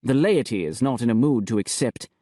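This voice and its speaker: Smug bishop, measured and gloating, dry close sound; man reading. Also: medium pitch, animated, mellow, no echo, close to an everyday voice. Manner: slow, gloating.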